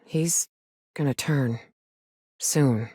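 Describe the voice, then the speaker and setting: An actress playing a hard-drinking, trigger-happy but loyal frontier mercenary in a video game, with folksy, salty, sardonic phrasing. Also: female voice, rumbling low, very slow, warm tone, slightly rough, no room sound, clean studio sound, heavily acted. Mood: somber. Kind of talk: video game character dialogue.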